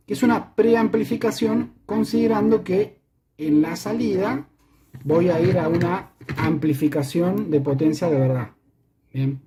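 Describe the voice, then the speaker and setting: A man's voice: vocal fry, warm tone. A man with an Argentine Spanish accent giving a methodical hands-on tutorial, repeating and rephrasing as he goes, his voice run through a voice changer. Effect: live voice changer, shifting in pitch and robotic tube-like tone. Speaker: electronics maker giving a demonstration.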